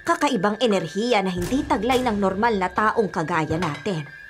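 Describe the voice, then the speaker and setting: A woman playing a wary old grandmother in a radio drama, speaking gravely and with suspicion. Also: mid-range voice, lively intonation, normal speed, lightly acted. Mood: grave warning. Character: wary, foreboding old grandmother with a sixth sense. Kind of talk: Filipino radio drama acting. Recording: music bed underneath.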